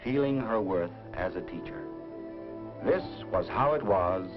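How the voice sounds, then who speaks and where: An American man reading scripted 1950s educational film narration in dramatic, measured short phrases. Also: lowish voice, lively intonation, over music. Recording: dull vintage film soundtrack.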